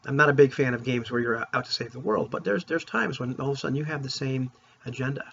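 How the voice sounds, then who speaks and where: A man talking casually to viewers as a tabletop gaming vlogger, in an informal, rambling, opinionated way, with a husky voice. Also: medium pitch, quick pace, a bit bright, a little hiss or hum. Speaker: vlogger on tabletop roleplaying games. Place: room at home. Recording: dull, lo-fi sound.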